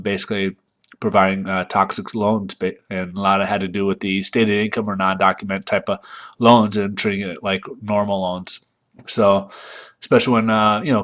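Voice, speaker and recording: A congested man hosting a daily market report, talking loosely with many fillers and pauses. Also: on the low side, fairly bright tone. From United States. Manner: loose, chatty, many ums and uhs. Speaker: mortgage market report host. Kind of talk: casual daily market commentary.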